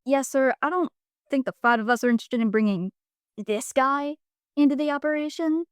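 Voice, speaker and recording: A man's voice: very high voice, moderate pace, clear, resonant tone, no room sound, studio quality, voice pushed up. A man voicing a polite, awkward young woman on a train crew in an audio drama. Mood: awkwardly protesting.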